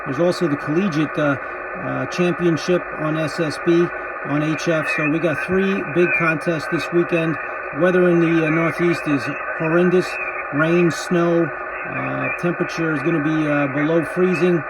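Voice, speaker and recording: A man's voice: on the high side, steady tone, moderate pace, very noisy. A man who makes amateur radio videos, speaking casually as he narrates and operates.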